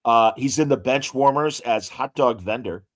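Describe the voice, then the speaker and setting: Guy on a podcast rattling off actor credits, lots of uhs, throws in ladies and gentlemen bits, mid pitch. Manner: reads out actor credits with frequent "uh" pauses and showman asides. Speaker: podcast co-host. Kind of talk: casual podcast chat.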